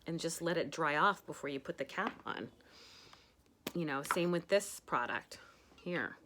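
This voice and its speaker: A woman's voice: deep voice, animated, a little slow, background noise. A woman talks through a makeup routine at home. She rambles in a chatty way, full of fillers and asides. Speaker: makeup tutorial host. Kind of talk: casual makeup tutorial.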